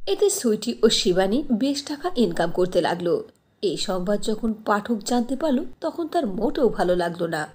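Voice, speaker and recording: A woman narrating a cartoon story in an expressive storytelling manner. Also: mid-range voice, normal speed, husky.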